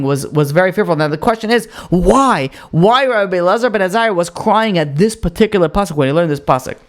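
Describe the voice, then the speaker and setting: A man, a rabbi, giving a casual Torah lesson, explaining with rhetorical questions and frequent restarts. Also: high-pitched. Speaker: rabbi teaching a Torah class.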